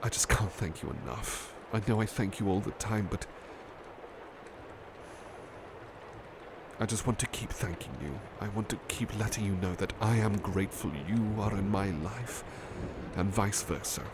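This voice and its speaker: A man playing a gentle, bashful butler turned into a cuddly plush doll in an ASMR roleplay, speaking tenderly and politely with hesitant pauses. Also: fairly low pitch, background noise, lightly acted. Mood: grateful, tender. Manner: hesitant, polite, tender, halting with soft repetitions. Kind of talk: ASMR roleplay, soothing personal attention.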